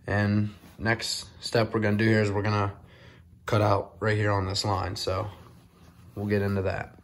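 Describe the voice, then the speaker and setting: A young man with a slightly low voice walks through a woodworking build in a cold shop shed. He talks in an easygoing, loose way, full of "um" and "like". It sounds like a handheld phone recording. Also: a little hiss or hum. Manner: relaxed, filler-heavy explaining. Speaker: DIY video co-host. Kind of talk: casual how-to narration.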